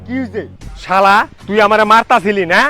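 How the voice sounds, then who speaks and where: Scrappy, vengeful prankster played by a man, high voice, rural Bengali dialect, coarse taunts, comedy skit over prominent music. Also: steady tone, brisk, lightly acted. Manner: taunting, coarse street talk. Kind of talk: slapstick comedy skit acting. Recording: music behind the voice.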